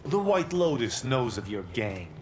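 A man's voice: medium pitch, very animated, unhurried, darkish tone, slight background noise. A man voicing a stern, righteous Shaolin monk in a video game, formal and firm. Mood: stern resolve.

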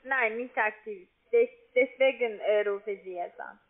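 A woman with a foreign accent and halting non-native phrasing, working as a call centre sales agent. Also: on the high side. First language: not German. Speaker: call centre account agent selling online trading. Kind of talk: phone sales pitch. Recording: tinny, narrow phone line.

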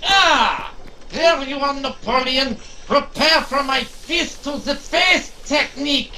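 A man's voice: extremely high pitch, slow pace, pushed and bright, a little hiss or hum, full character voice, rough recording. A man playing a taunting, bombastic robot dictator in an audio drama. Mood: menacing. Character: gloating villainous giant steam-powered robot dictator, male.

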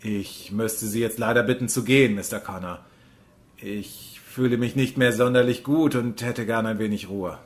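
A man's voice: fairly low pitch, normal speed, lightly acted. A man playing a weary, evasive, easily intimidated doctor in an audio drama.

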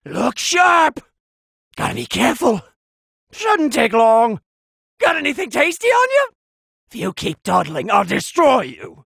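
A man voicing a pompous, petulant, gluttonous fat cat god of destruction in an anime video game, with a gravelly, strained, blustering delivery. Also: very high voice, huge pitch swings, unhurried, pauses often, a bit bright, dry recording, clean studio sound, full character voice. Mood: cocky, idle. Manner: bratty, blustering, imperious.

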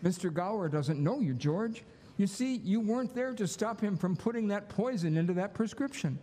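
Older man playing a gentle, high-voiced old angel. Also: animated, moderate pace. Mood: patient, earnest. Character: gentle, earnest old male guardian angel. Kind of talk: live radio play reading. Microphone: stand microphone on stage. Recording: reverberant school auditorium stage. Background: music.

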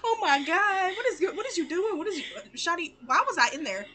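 A woman's voice: very high voice, lively intonation, brisk, over music. A woman improvising a comedic cartoon fan dub in a slangy, sassy, giggly way. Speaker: amateur fan dubber.

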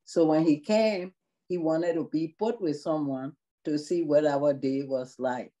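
A woman's voice: sits low, fairly even intonation, dark tone, rough recording. A middle-aged woman from Liberia with a Liberian accent, a long-term care worker speaking earnestly in a video-call interview. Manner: slow, earnest, measured. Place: small room indoors.

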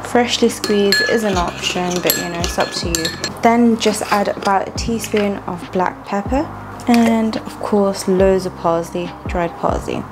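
A woman's voice: mid-range voice, unhurried, background noise. A woman, chatting casually as she talks viewers through a home cooking recipe step by step. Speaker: cooking vlogger. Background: background music.

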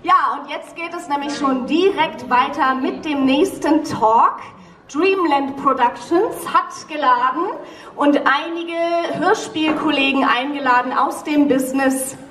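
Female event presenter, high voice, stage announcements, very roomy and some way from the mic. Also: measured pace, a bit bright. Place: theatre stage, indoors. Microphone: handheld mic, recorded from audience. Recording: echoey stage sound from far off.

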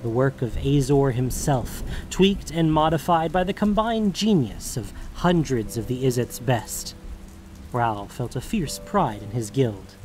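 A man's voice: medium pitch, lively intonation, a little slow, darkish tone, a little hiss or hum. A man reading scripted narration for a fantasy audio drama. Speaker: narrator and podcast host.

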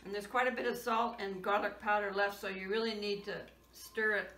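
A woman's voice: medium pitch, steady tone, a little fast. A woman in her sixties giving plain step-by-step cooking instructions in her home kitchen. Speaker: home cook. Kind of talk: casual cooking demonstration. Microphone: camera across the kitchen. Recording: echoey kitchen, camera far off, loud noise.

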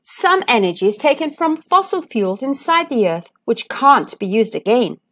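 A woman's voice: a little high, very animated, moderate pace, fairly bright tone. A woman voicing a cheerful, friendly male sunbeam who explains things emphatically in a children's storybook. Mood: earnest, upbeat. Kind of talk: children's storybook read-aloud.

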